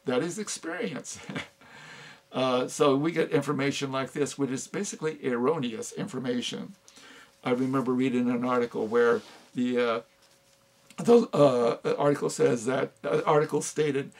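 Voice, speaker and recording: An older man giving an unscripted talk on philosophy, halting often with fillers and restarts. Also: medium pitch, steady tone. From United States. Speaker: speaker on philosophy.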